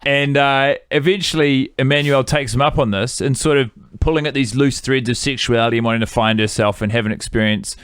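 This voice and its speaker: A man's voice: medium pitch, fairly even intonation, fairly bright tone, smooth, no room sound. Rambling New man, dry sound. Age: 30s. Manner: rambling, halting with fillers and restarts. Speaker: podcast co-host. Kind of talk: casual film-review chat.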